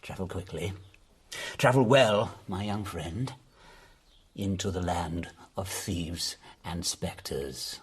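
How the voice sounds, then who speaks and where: An actor playing an ominous older man who speaks slowly and gravely. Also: sits low, heavily acted.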